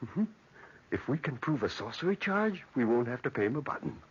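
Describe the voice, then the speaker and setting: An actor in old-time radio drama playing a fussy, suspicious old magistrate who hems and haws pompously. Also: male voice, mid-range voice, sing-song, brisk, breathy edge. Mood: puzzled, impatient. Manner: hemming and hawing, pompous. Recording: dull, lo-fi old radio recording.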